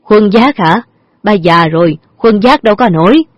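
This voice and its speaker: A woman's voice: medium pitch, expressive, moderate pace, dry recording, dull audio, natural voice. A woman voicing an old father who gambles, sounding wheedling and defensive. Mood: pleading.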